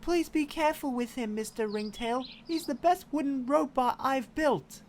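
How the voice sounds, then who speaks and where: A woman's voice: high voice, normal speed, darkish tone, slight background noise, lightly acted. A woman voicing an earnest young boy inventor in an audio drama.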